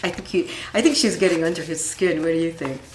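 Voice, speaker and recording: A woman reading a children's story aloud, speaking deliberately and pausing to put questions to her listeners. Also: low-pitched, recorded from a distance, a little hiss or hum. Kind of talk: expressive storybook read-aloud with asides. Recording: roomy, echoing room sound.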